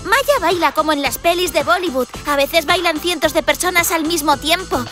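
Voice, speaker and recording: A woman's voice: very high voice, moderate pace. A woman voicing a cheerful, friendly young girl puppy in a cartoon, chirpy, with a Castilian Spanish accent. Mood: enthusiastic. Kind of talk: animated cartoon acting. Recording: loud music behind the voice.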